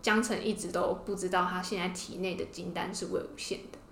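A woman's voice: on the low side, fairly even intonation, a little fast, gravelly, fairly bright tone, slight background noise. A woman with a Taiwanese Mandarin accent hosting a podcast, telling and explaining a story in a casual way. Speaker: podcast host. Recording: roomy, echoing podcast room.

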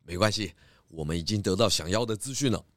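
Man as a courteous pig detective, low pitch, patient, dry close sound, children's audio drama. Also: fast talker, dry recording, close to an everyday voice. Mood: calm. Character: calm, polite male pig detective.

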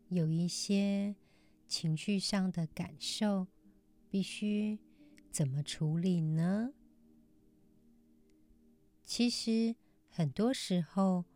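Slow, low, mellow-voiced woman podcast host. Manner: measured, gentle phrasing with frequent pauses. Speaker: host of a meditation and Buddhist teaching podcast. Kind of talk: calm scripted mindfulness talk.

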